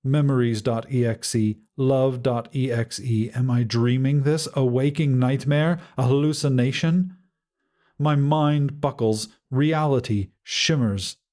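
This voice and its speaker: A man narrating first-person fiction in a measured, solemn and dramatic way. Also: medium pitch, steady tone, darkish tone. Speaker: audiobook narrator.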